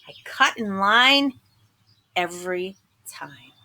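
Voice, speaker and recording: A small storybook sunflower seed confesses to being bad, as a woman reader acts it out. The delivery is slow, confiding and dramatic, with big swings of pitch and a bright edge, glum yet earnest. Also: lively intonation, exaggerated voice. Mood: confessing, defiant. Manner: dramatic, confiding, drawn-out emphasis. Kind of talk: picture book narration in first person.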